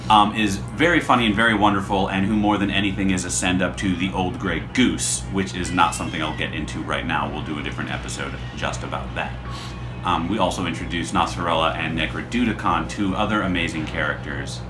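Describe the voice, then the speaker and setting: A man's voice: deep voice, steady tone, fairly quick, over music. A man hosting a podcast, explaining his show in a casual, rambling, self-deprecating way. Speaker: podcast creator and host.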